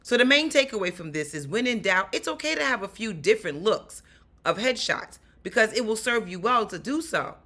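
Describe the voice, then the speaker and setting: A woman with a raspy voice and a New York accent, an actor and stunt performer giving upbeat, animated career advice straight to her viewers. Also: medium pitch, a little fast. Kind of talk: casual advice vlog.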